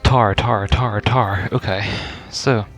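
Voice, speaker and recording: Low-pitched man commentating on a game. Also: steady tone, slow, fairly bright tone, faint music behind. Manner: rambling, thinks aloud. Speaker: gaming video host. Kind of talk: casual first-reaction game commentary.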